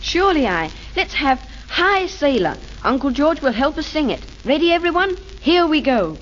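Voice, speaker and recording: A woman presenting a children's radio show in a brisk, teacherly manner. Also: high-pitched, very animated, highs cut off. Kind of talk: scripted children's radio banter. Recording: crackly old 1930s radio recording.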